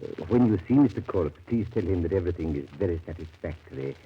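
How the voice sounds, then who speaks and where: Confiding company agent, hesitant, husky bass, furtive, muffled 1930s radio drama. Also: male voice, expressive, full character voice. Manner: halting, confiding, fussy. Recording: dull, lo-fi 1930s radio recording.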